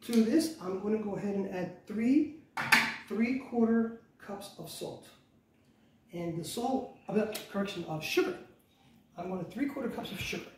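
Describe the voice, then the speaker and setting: A middle-aged man hosting a home cooking show, talking through each step in a relaxed, instructive way and often correcting himself. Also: sits high, moderate pace. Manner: relaxed, instructive, self-correcting, repeats "go ahead and". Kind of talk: casual step-by-step cooking demonstration. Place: large bright home kitchen, indoors. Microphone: camera mic from across the kitchen. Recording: roomy, distant, noisy kitchen audio.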